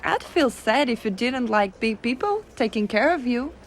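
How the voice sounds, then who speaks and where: A woman playing a gentle, teasing giantess secretary in an ASMR roleplay, coaxing and cooing softly. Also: a little high, expressive, a little hiss or hum, natural voice. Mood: gentle, attentive. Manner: soft coaxing, cooing, unhurried.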